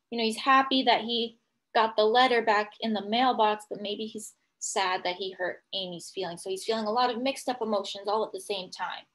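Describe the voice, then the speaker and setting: A woman teacher reading a picture book aloud to young children, pausing to explain things simply and ask questions. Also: a little high. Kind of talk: children's read-aloud with commentary.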